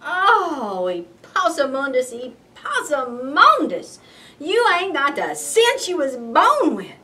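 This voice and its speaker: A woman's voice: sits high, very animated, takes it slowly, pushed and bright, heavily acted. Lady doing a fed-up possum mama, big swoopy scolding, bit far from the mic. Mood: exasperated, scolding. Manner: emphatic, repetitive, folksy scolding.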